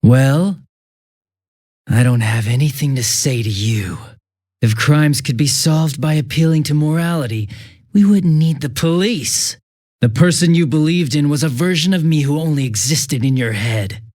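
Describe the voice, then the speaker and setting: An actor playing a smug, mocking young detective turned murderous villain in a video game, swinging theatrically between bored drawl and giddy taunts. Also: male voice, fairly high pitch, normal speed, a little mellow, no room sound, studio quality, close to an everyday voice. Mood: bored, contemptuous.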